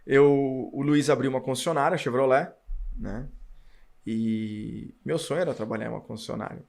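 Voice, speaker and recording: A man tells his story with animation. His voice is gravelly, with a steady middle pitch. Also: moderate pace. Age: 40s. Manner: animated storytelling, acts out past dialogue. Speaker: podcast guest, car dealer. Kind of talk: casual storytelling interview.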